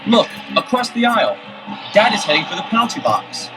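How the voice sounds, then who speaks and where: An actor playing a keen, confident teenage detective brother in a 1970s children's audio adventure, earnest and emphatic. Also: male voice, high-pitched, sing-song, fairly quick, music in the background.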